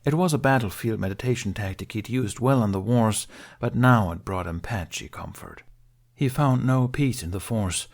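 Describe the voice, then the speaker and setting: A man's voice: medium pitch, normal speed. A man reading a scripted story as an audiobook narrator.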